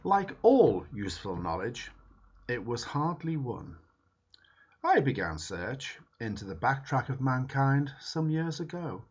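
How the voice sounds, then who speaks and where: Man, husky voice, slow deliberate reading, very lively pitch, dull lo-fi sound. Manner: slow, emphatic dramatic reading with wide swings in pitch. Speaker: reader. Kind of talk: reading a book foreword aloud. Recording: dull lo-fi recording.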